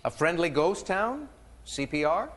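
A quiz show host, a man whose higher voice swings up and down with animated energy. He reads clues briskly and drops playful, teasing remarks to the contestants. His voice rings with a reverberant studio sound. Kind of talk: game show hosting with banter.

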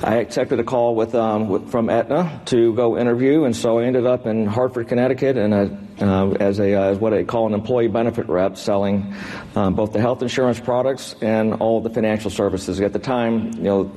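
An American man in his sixties from Texas answering interview questions in a halting, measured way, as a business executive. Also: fairly low pitch, fairly even intonation, warm tone, big-room sound. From Texas, United States. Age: 60s. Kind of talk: unscripted on-stage interview answers. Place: large indoor event room before an audience.

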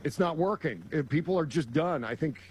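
A man's voice: on the high side, animated, fairly quick, husky. A man talking politics as a guest on a talk show, emphatic and repeating words as he builds his points. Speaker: guest commentator. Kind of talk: casual political chat.

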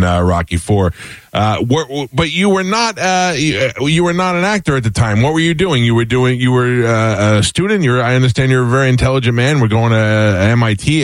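A man's voice: mid-range voice, dry recording. A middle-aged man hosting a morning radio show, rambling and self-deprecating as he interviews a guest, often tripping over his words.

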